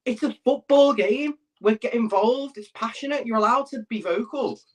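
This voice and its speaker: A man's voice: extremely high pitch, normal speed. A man, a fan channel host, talking bluntly and heatedly and swearing freely. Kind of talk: announcement and fan rant.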